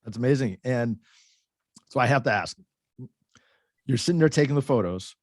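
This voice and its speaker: A man's voice: medium pitch, lively intonation, moderate pace, dry recording. A man hosting a podcast interview, friendly and often stumbling over his words. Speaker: podcast host. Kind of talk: casual interview.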